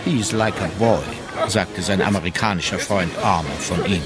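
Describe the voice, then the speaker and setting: An actor playing a member of the emperor's entourage, narrating anecdotal memoirs in a radio drama. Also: male voice, deep voice, moderate pace, loud music behind the voice, a loud crowd, close to an everyday voice. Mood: amused. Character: male member of the emperor's entourage recalling pranks.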